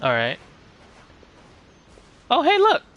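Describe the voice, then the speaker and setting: A man's voice: very high voice, wildly swooping pitch, slow pace, a bit bright. A man giving casual, rambling live commentary as he streams a game.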